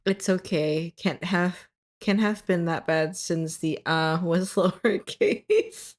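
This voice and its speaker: Low-voiced woman reading aloud, a bit hoarse. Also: takes it slowly. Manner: stops often to correct her wording, laughs at slips. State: losing her voice. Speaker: streamer. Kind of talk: reading her own fiction aloud on a live stream.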